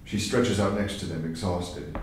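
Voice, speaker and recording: Male stage-directions reader, deep voice, scripted narration, distant mic with faint background noise. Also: steady tone, measured pace, very echoey. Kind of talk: scripted screenplay narration at table reading. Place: large echoey room, live table reading.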